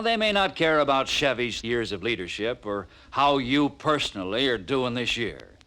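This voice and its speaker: Very animated 1950s American male narrator. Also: mid-range voice. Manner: persuasive, punchy, rhetorical questions. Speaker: narrator of a car sales training film. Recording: vintage 1950s film soundtrack.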